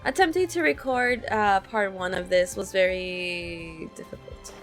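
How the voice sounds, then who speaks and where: A woman streaming a game with casual reaction commentary, slangy, excitable and quick to swear. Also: mid-range voice, soft background music.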